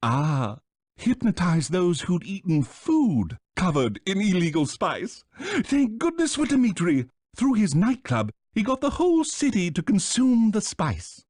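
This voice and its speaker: A pompous British bird mastermind from a video game. The delivery is theatrical and condescending, with pitch that leaps and dips constantly. The sound is dry and close. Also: male voice, huge pitch swings, unhurried, darkish tone, no room sound, heavily acted. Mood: smug. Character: pompous, scheming, flightless male bird villain, small and elderly.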